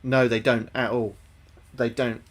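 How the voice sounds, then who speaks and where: A man's voice: medium pitch, little pitch movement, measured pace. A young man livestreaming a game, thinking aloud to his chat, sweary and repeating himself. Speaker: livestreaming gamer. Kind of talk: casual gameplay commentary to chat.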